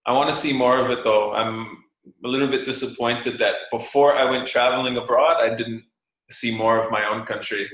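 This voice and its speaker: A man's voice: mid-range voice, steady tone, moderate pace, fairly bright tone. Canadian man musing in a roomy echo. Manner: thoughtful, rambling with many fillers and restarts. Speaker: guest. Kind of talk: casual interview talk.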